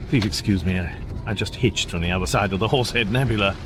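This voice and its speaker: An actor playing a polite, bewildered English hitchhiker in a radio comedy drama, hesitant, apologetic and stammering. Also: male voice, fairly low pitch, moderate pace, close to an everyday voice. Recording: clear vehicle noise behind. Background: car interior noise.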